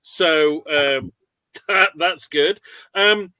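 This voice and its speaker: A man with a bright, slightly high voice hosts an online webinar. He reads out audience questions informally, thinking aloud as he goes. His sound is close and dry, with little room in it. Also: very slow, clear, resonant tone, dry recording. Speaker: webinar host.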